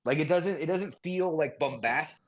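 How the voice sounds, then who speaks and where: A man's voice: a little high, moderate pace. A man co-hosting a podcast in casual chat, informally qualifying his opinions as he goes. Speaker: podcast co-host. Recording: slightly dull, lo-fi audio.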